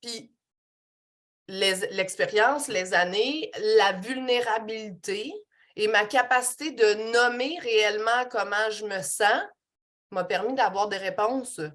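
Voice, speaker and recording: A woman's voice: mid-range voice, unhurried, a bit bright. A woman hosting a podcast, affirming her guest and speaking candidly about her own doubts. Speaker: podcast host. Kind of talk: conversational interview.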